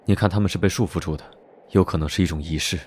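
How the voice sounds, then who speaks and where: Deep, quick-talking, teasing young duke, voiced by a man for an animated drama; background music. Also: background noise, natural voice. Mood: smug. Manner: teasing, drawling and self-assured. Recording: background music under dialogue.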